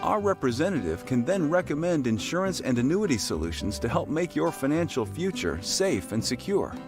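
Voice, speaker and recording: A smooth, reassuring man reads a scripted commercial. His pitch moves with lively swings, and clear music plays under him. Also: normal speed. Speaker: ad announcer.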